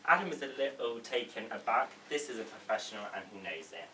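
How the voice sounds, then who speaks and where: A man's voice: fairly low pitch, very animated, fast talker, bright, forceful tone. A man reading out stage directions as informal narration at a table read. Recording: roomy, distant mic with background noise.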